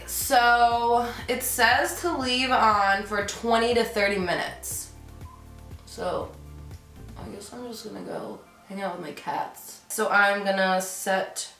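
A woman's voice: medium pitch, slow pace, a bit bright. A young woman beauty vlogger in her twenties chatting casually on camera, stuffy from a cold, and filling her talk with "like". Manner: chatty, lots of "like", giggly. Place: bedroom with decorated shelves. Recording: roomy home room with background music.

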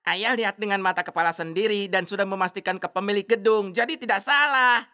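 A man voicing an eager parrot father in a cartoon dub, with theatrical, flattering sales patter. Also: high voice, a little fast, clean tone. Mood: earnest. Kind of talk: animated cartoon dub acting. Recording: music bed under the voice.

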